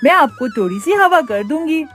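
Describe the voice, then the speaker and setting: A woman's voice: high voice, big pitch swings, normal speed, fairly bright tone, faint music behind. A woman voicing a sweet, eager little girl in a cartoon, with a childlike, pleading manner.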